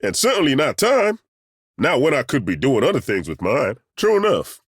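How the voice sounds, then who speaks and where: An actor playing a burly, good-humoured ageing masseur turned adventurer in a video game, with a husky voice and a folksy, self-deprecating, joking way of talking. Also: male voice, mid-range voice, huge pitch swings, dry recording, studio quality. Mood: wry, bantering. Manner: folksy, joking, chuckling, drawn-out hesitations. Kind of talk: video game character dialogue.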